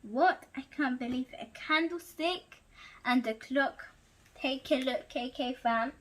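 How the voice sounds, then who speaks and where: A woman's voice: high-pitched, slow. A female host reading a story aloud expressively, with exclaimed asides to the viewers. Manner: animated, exclaiming reactions.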